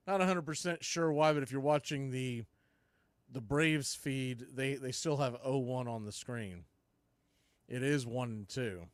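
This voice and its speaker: A man doing live baseball play-by-play for a fan stream, mixing clipped pitch calls with casual, partisan asides. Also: unhurried, no room sound.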